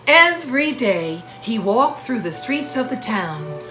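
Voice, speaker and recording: A woman reads a children's picture book as its author. Her pace is slow, with deliberate, measured phrases at a medium pitch. Music plays clearly behind her. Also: big pitch swings, pushed and bright. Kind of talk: children's storybook narration.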